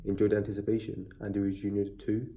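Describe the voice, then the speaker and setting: A man's voice: lowish voice, completely flat delivery, quick pace, mellow. A man with a stuffy, sniffly cold, chatting casually and rambling through a boxing news video on a built-in mic. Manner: chatty, rambling, many fillers and restarts. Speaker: boxing vlogger. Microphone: built-in device mic, no external mic.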